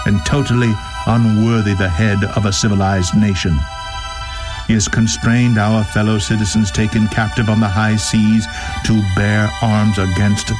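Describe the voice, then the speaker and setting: A man gives a solemn dramatic reading of a historical document in a grave, deliberate, declamatory manner. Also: lowish voice. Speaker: reader. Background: music.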